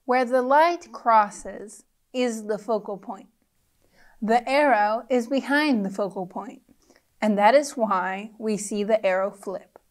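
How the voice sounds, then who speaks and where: A young adult woman presenting a children's science lesson, reading scripted simple English deliberately and carefully, with pauses between phrases. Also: fairly high pitch.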